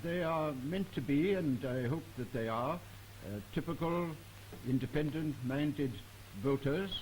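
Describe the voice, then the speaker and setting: A British man moderating a formal 1950s political broadcast in a measured, halting, deliberate manner. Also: on the high side, darkish tone, poor audio. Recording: hissy 1950s archive broadcast recording.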